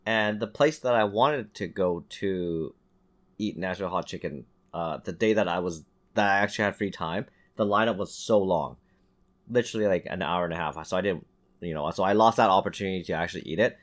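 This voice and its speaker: A man telling stories in casual, animated podcast banter as a host, with some swearing. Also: deep voice, brisk. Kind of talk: casual chat, storytelling.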